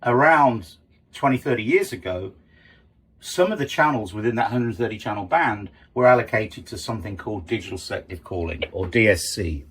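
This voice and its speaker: A man's voice: fairly low pitch, animated, fairly quick, breathy edge. A middle-aged man giving an informal, explanatory lesson as a sailing instructor, conversational and stressing key terms.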